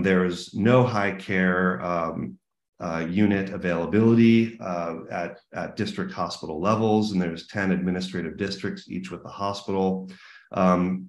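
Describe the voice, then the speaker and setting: A man with a very low, deep voice delivers a keynote over a webinar. He explains earnestly, stopping often on ums and restarting phrases. The sound is roomy, with a noticeable echo from the space around his computer mic. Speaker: keynote speaker. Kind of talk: webinar presentation.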